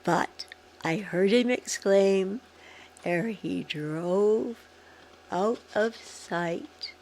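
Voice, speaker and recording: Very slow, creaky-voiced woman reading aloud. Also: mid-range voice. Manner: deliberate, halting phrasing with emphatic expression. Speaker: volunteer story reader. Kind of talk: reading a Christmas poem aloud.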